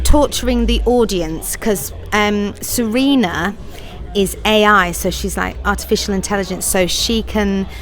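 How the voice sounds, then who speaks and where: A middle-aged woman, a pantomime writer, director and actor, answering interview questions in a chatty, effusive way and laughing easily. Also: mid-range voice.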